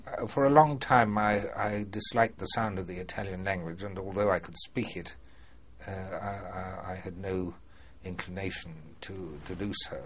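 An older man being interviewed about his past, speaking formally and hesitantly with many pauses and fillers. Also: low-pitched, fairly even intonation. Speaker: former prisoner of war, interviewee. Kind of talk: oral history interview. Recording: muffled archive recording with faint hiss.

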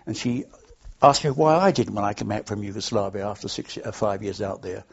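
A man's voice: lowish voice, lively intonation, fairly quick, vocal fry, husky, dull audio. An older man from London, reminiscing at length in an interview, rambling with many hesitations. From London, England. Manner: rambling, anecdotal, frequent hesitations. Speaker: oral history interviewee. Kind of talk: reminiscing, unscripted.

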